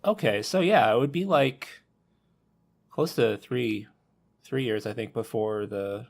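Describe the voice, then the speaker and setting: Mid-pitched man in casual discussion. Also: measured pace, creaky. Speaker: podcast panelist.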